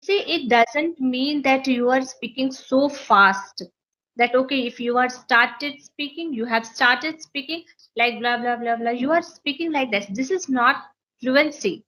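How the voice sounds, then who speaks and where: A woman's voice: high voice, measured pace, a bit bright, rough recording. A woman English teacher with an Indian accent and Maithili as her first language, earnestly explaining in an interview and repeating phrases to stress her points. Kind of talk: interview, sharing learning advice.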